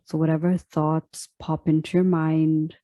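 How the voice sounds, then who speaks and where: Slow, mellow woman guiding meditation. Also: sits low, flat delivery, dry recording. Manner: soft, deliberate, instructional. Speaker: meditation teacher. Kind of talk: guided meditation.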